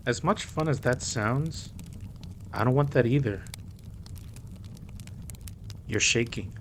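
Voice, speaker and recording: A man's voice: mid-range voice, takes it slowly, slight background noise, natural voice. A man playing a protective, easygoing mafia boss with a wry streak in an audio roleplay. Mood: soothing.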